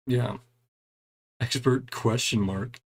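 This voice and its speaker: A man's voice: mid-range voice, steady tone, takes it slowly, breathy edge. A man giving casual gameplay commentary, reading game dialogue aloud and adding wry, chuckling reactions in a laid-back way. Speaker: gaming streamer doing a playthrough.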